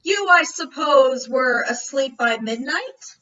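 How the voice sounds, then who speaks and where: A woman's voice: high voice, animated, takes it slowly, bright, forceful tone, highs cut off, full character voice. A woman acting a brash, folksy police captain in an old-time radio play, slyly probing with mock-casual questions. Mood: pressing, pouncing. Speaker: actor in an amateur radio play.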